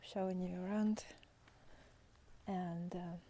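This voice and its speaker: A woman giving an intimate, spontaneous spiritual talk as a guide, halting and tender, sometimes softening toward a whisper. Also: on the low side, fairly even intonation. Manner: tender, halting, with long pauses, sighs and soft laughs. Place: outdoors in nature, walking by foggy fields.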